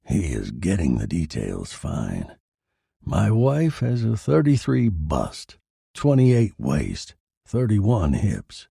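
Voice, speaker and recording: A man's voice: deep voice, wildly swooping pitch, slow, creaky, warm tone, breathy edge, no echo, clean studio sound, full character voice. A man voicing a bitter, sardonic dead husband who narrates his own noir horror story in a theatrical way. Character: dead murdered husband, bitter, wry, macabre narrating corpse.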